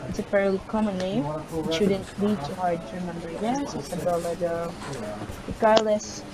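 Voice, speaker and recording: A woman's voice: on the low side, fairly even intonation, normal speed, a loud crowd. A woman reading a visual novel's first-person story aloud, sometimes stumbling over words.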